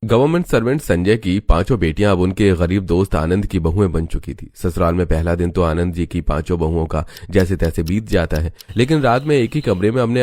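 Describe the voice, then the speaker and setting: A man's voice: low voice, a little mellow. A man reading scripted moral-story narration as a storyteller.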